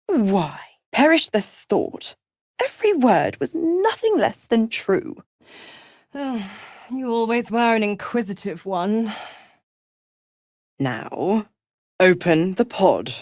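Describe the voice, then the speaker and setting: An actress voicing a seductive, gloating devil patron in a video game, with a silky, condescending, theatrical manner of cruel teasing. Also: female voice, medium pitch, sing-song, slow pace, long pauses, a little mellow, dry recording, clean studio sound. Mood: haughty. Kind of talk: video game character voice acting.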